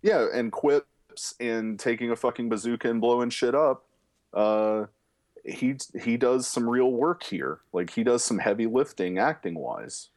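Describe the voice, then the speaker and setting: A man's voice: mid-range voice, animated, unhurried, darkish tone. A man co-hosting a film podcast, chatting loosely with lots of fillers and swearing. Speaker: podcast co-host, film reviewer. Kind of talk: casual chat.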